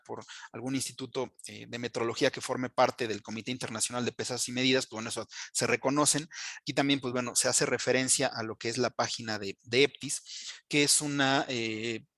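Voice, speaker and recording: A man leads a technical training session in a mid-pitched voice. He explains step by step but haltingly, padding phrases with fillers and little check-in tags. The sound is dry, with very little room echo. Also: a bit bright. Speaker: instructor, training presenter.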